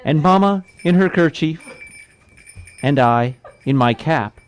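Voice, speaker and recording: A man's voice: a little high, animated, slow, smooth. A man giving a dramatic, emphatic reading of a storybook poem in a live room. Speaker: reader at a charity livestream.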